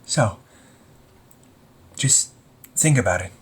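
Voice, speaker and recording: A man playing a young supervillain in an amateur audio roleplay, husky and breathy, speaking earnestly and haltingly to the listener. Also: on the low side, animated, full character voice. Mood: tender, reassuring. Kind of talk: amateur audio roleplay, intimate one-sided dialogue to listener.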